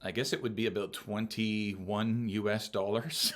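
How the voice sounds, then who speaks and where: A man hosting a casual podcast chat, teasing his guest and laughing often, with many halting restarts. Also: fairly low pitch, a bit bright, slight background noise. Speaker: podcast host.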